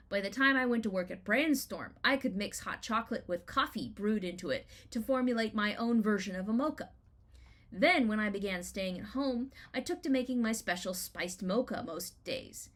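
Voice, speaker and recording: Woman giving an expressive personal essay reading. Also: mid-range voice. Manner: expressive, deliberate storytelling with emphatic rhetorical questions. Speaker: writer reading own blog essay.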